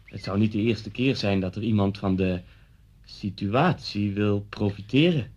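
A man's voice: fairly low pitch, measured pace, a little mellow. A chatty policeman, muffled recording. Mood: affable, confiding. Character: genial male neighbourhood policeman, former pupil, a father. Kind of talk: Dutch radio play acting. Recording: dull lo-fi radio play recording.

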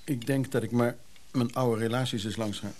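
An actor playing a glib middle-aged brother in a radio play, breezily brushing off whatever is put to him. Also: male voice, mid-range voice, steady tone, normal speed, darkish tone, a little hiss or hum, close to an everyday voice. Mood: chatty. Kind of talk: naturalistic Dutch radio play acting.

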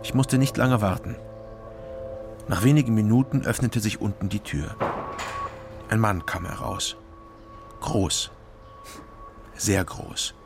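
An actor playing a wary East German private detective, narrating a radio crime drama in terse, deadpan sentences. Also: male voice, lowish voice, slow pace, vocal fry, pauses often, music in the background. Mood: laconic.

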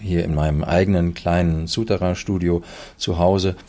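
A man's voice: sits low, steady tone, normal speed, mellow, slight background noise. A man who works as a professional announcer, explaining things in interview in his small home studio.

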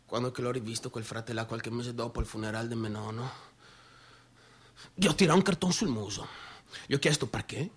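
A man's voice: mid-range voice, fairly quick, pauses often, slightly rough, natural voice. An actor playing a cocky, crude young man with a northeastern Italian accent, telling stories in a slangy, swaggering theatrical monologue. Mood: bitter, agitated. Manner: swaggering, slangy, repeats phrases for emphasis. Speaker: stage actor. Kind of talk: theatrical monologue, colloquial storytelling.

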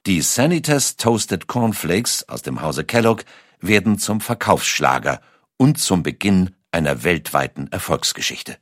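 Man, scripted documentary narration, deep pitch, varied melody. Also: sing-song, a little slow, a bit bright, dry recording, clean studio sound.

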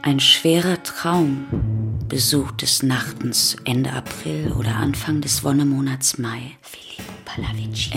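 Grave female storyteller, radio play, low creaky voice, breathy hushes, music behind. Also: heavily acted. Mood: hushed, haunting. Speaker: narrator. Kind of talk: literary radio play narration.

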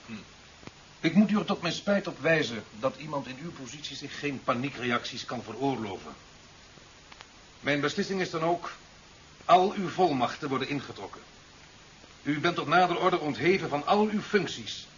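An actor playing a stern, authoritative official in a 1970s radio drama, speaking in formal, measured, reproving phrases. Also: male voice, fairly high pitch, animated, close to an everyday voice. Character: stern, authoritative male official presiding over a hearing. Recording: dull, hissy old radio recording.

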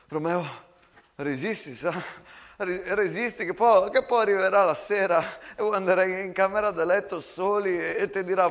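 A man's voice: sits high, fairly bright tone, pitched above the performer's own voice. A man playing a desperate young soldier in a stage war monologue, urgently pleading and stammering in a Venetian accent.

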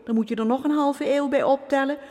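An actress playing a talkative, outspoken minister's wife with a breezy manner. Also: female voice, high voice, steady tone, fast, darkish tone. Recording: reverberant room.

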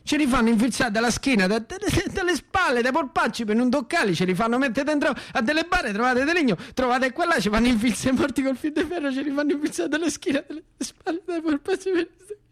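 Distraught Roman war survivor, very high strained voice, frantic repetition, radio drama, dry sound. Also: male voice, expressive, fast talker, hardly any pauses, a bit bright, no room sound. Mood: frantic, anguished. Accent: Roman Italian. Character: distraught, obsessive civilian haunted by war dead.

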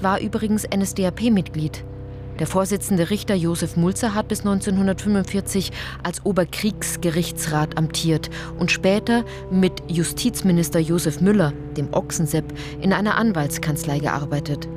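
A woman's voice: medium pitch, natural voice. An actress playing an indignant, principled woman in a radio play, narrating in an articulate, insistent, pointed way. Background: music.

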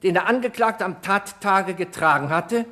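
An actor playing a haughty, sarcastic public prosecutor in a radio crime play, speaking with biting irony and pointed rhetorical pauses. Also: male voice, sits high, pushed and bright. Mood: forceful, condemning. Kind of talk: German radio crime play acting, courtroom oratory.

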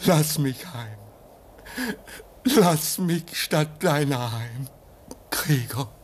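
A man's voice: a little high, expressive, drawn-out words. An actor playing a desperate, vain young man in a German radio drama, pleading and overwrought in a theatrical mid-century style. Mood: pleading, despairing. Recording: noisy old radio drama recording.

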